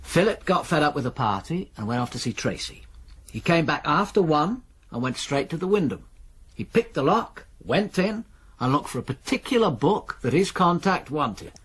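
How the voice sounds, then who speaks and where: A dry, probing police detective in a radio drama. His voice is husky and moves up and down freely as he questions and reasons. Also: fairly high pitch, big pitch swings, normal speed, fairly bright tone, full character voice.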